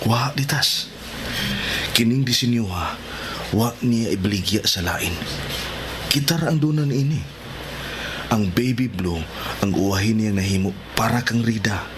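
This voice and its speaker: A man playing a cautious, reasoning husband and father in a radio drama, measured and urging restraint. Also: on the low side, lightly acted. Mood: earnest. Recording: noisy background ambience.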